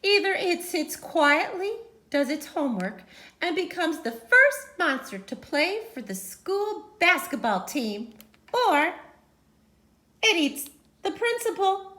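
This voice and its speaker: High, animated woman reading a children's story. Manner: dramatic, emphatic, with pauses and sudden swells. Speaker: teacher reading a picture book aloud.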